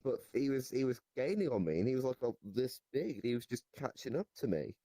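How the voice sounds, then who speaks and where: A man playing a deranged goblin storyteller in an improvised comic interview, rambling haltingly and nonchalant about absurd claims. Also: medium pitch, very animated, warm tone, no echo, voice pushed up. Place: virtual reality voice chat.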